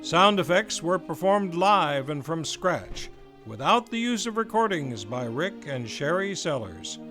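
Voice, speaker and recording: A man hosting an old-time radio show, reading scripted announcements in a formal, measured, theatrical announcer style. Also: on the high side, very animated, bright, forceful tone. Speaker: radio series host and announcer. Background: live improvised music.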